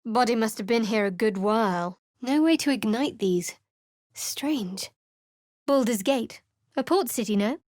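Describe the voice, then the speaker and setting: A woman's voice: on the high side, expressive, a little slow, darkish tone, no room sound. A woman voicing a guarded, sardonic young cleric adventurer in a game, with a dry, cutting delivery. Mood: wry. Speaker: video game character. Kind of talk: game voice lines.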